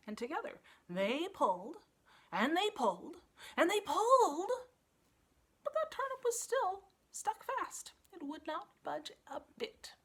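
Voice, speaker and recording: A woman with a fairly high voice tells a children's tale. Her delivery is very animated, rising and falling widely as she builds drama through emphasis. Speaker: librarian storyteller.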